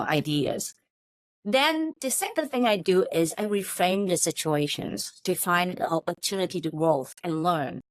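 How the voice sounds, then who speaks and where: A woman's voice: fairly low pitch, dry recording. A woman from Hong Kong with a Chinese accent, answering interview questions and telling personal stories as a native Chinese speaker. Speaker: interview guest, technology company chief of staff.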